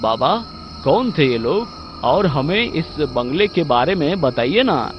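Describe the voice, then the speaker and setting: Man plays uneasy young storybook adventurer, questioning, lively swings in pitch, slightly muffled, music behind. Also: slow pace, slight background noise. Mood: anxious, questioning. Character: anxious young man stranded at a haunted bungalow. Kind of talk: storybook character voice.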